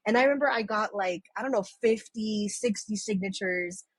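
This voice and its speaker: A husky woman in her thirties from San Francisco, recorded dry and close. She talks as a podcast guest, telling loose stories that are full of "um" and "like" and that restart mid-sentence. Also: medium pitch, normal speed, dry recording. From San Francisco, USA. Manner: rambling, many fillers and restarts, swears casually. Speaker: podcast guest and community organizer. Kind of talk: casual storytelling chat.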